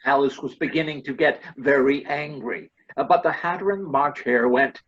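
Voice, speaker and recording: A woman's voice: very deep voice, moderate pace. A woman reading scripted narration for a readers' theater storybook piece, over dull lo-fi audio.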